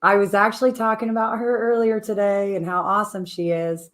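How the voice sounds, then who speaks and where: A woman co-hosting a radio show, chatting lightly, her voice raspy from a trip. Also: mid-range voice, fairly quick. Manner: chatty, laughing, lively. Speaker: radio co-host. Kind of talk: casual on-air chat.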